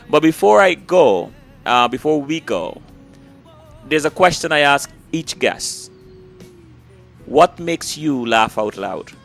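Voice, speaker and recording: Casting: man, host, mid-pitched, effusive and gracious, faint music under. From Guyana. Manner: warm, effusive, repeats "and, and". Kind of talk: interview wrap-up.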